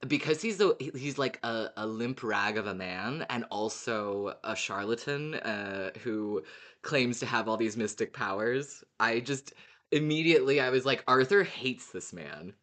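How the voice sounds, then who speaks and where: This is a podcast host in relaxed, casual fan discussion. The delivery is animated and unscripted, and swearing slips in freely. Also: medium pitch, unhurried.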